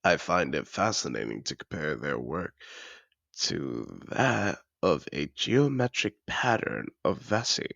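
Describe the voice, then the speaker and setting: Man acting a very deep, gravelly aristocratic dragon seducer. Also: very animated, a little slow, fairly bright tone, no room sound, exaggerated voice. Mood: passionate, admiring. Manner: refined, effusive, theatrical phrasing. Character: wealthy cultured male dragon in human disguise, possessive, effusive seducer. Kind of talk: scripted audio roleplay addressed to the listener.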